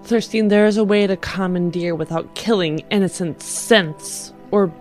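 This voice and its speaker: A woman's voice: mid-range voice, normal speed, a little mellow, faint music behind, lightly acted. A woman playing an earnest, polite but insistent spacer woman with firm morals in a tabletop game. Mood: morally insistent.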